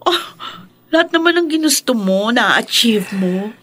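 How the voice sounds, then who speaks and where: A woman's voice: a little high, expressive, a little slow, a bit bright. A woman playing a polite, cheerful young girlfriend in a radio drama, affectionate and encouraging, with a Filipino accent. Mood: admiring, earnest.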